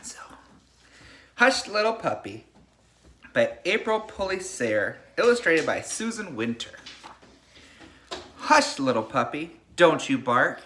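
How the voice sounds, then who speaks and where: A man speaking as a teacher to a young class, sharing a picture book in an animated storybook read-aloud style, with casual chat. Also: mid-range voice, slight background noise.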